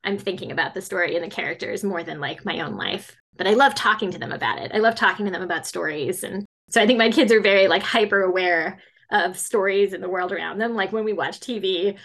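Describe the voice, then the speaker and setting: A woman's voice: mid-range voice, quick pace, creaky, fairly bright tone. A woman in her forties, a children's fantasy author, answering interview questions in a chatty way with many fillers and frequent laughter. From grew up mostly in Latin America.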